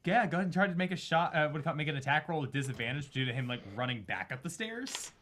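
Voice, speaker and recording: A man with a bright, forceful voice narrates a tabletop game as its game master. He is casual and halting, improvising descriptions and swearing freely. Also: on the high side, expressive.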